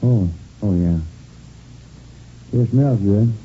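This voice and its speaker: An easygoing police partner from a vintage radio crime drama. His voice is on the low side, with a folksy, understated curiosity. The old recording sounds muffled. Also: very slow, a little hiss or hum. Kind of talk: 1950s radio police drama acting, casual dialogue.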